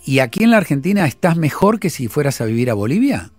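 A man's voice: a little high, very animated, fast, no room sound, soft background music. A man with a Rioplatense accent hosting a phone-in, probing the caller with insistent questions and recapping her figures. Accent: Rioplatense Spanish. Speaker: radio host.

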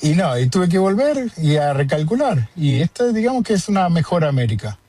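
A man's voice: fairly high pitch, big pitch swings, fairly quick, warm tone, soft background music. A man with an Argentine Rioplatense accent, chatting casually on a video call, joking and rambling with fillers. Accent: Rioplatense Argentine Spanish. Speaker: interviewee. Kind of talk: casual conversation.

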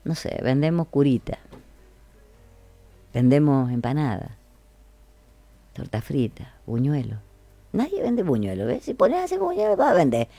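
A woman's voice: rumbling low, very animated, a little slow, pauses often, mellow, dry recording. A woman with an Argentine accent hosting a casual radio chat, chatty and colloquial, repeating phrases for emphasis. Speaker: radio host.

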